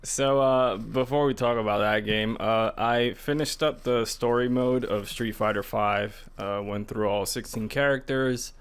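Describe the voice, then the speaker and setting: A man's voice: medium pitch, fairly even intonation, a little slow, hardly any pauses, smooth. A man co-hosting a podcast, chatting casually in a hesitant, loosely rambling way. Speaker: podcast co-host. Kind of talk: casual chat about video games.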